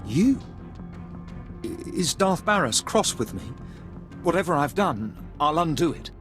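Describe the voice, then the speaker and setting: A man's voice: a little high, sing-song, unhurried, music in the background. An actor playing a cowardly Imperial officer in a sci-fi game, hesitant, deferential and eager to save his skin. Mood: flustered, fearful. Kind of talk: video game voice acting.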